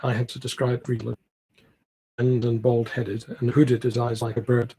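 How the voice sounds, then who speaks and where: A man with a slightly husky voice reading a classic novel aloud, now and then stumbling over words. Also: medium pitch, little pitch movement, moderate pace, mellow, slight background noise, poor audio. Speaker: amateur audiobook reader, library team member.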